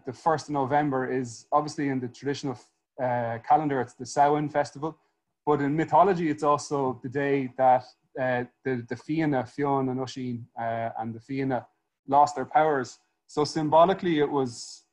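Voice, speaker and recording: A man in his thirties with an Irish accent, giving a history lecture in a conversational, hesitant way with many fillers and restarts. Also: mid-range voice. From Ireland.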